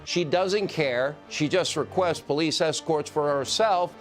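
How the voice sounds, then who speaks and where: A male news presenter gives pointed commentary. His pitch is a little high, with faint music in the background. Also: a little slow.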